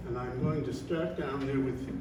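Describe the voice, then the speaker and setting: A man's voice: medium pitch, steady tone, normal speed, distant mic, noisy background. A man opening a public candidates meeting, hesitant with many fillers. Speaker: chamber of commerce president moderating a candidates forum. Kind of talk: informal public meeting remarks. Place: large echoey hall, bar room. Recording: echoey hall recorded from afar. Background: room ambience.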